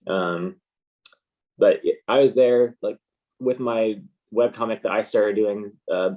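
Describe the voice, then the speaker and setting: Low-pitched, laid-back American man. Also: fairly even intonation, slow, narrow, tinny audio. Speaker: interview guest, comic artist. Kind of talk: casual interview chat.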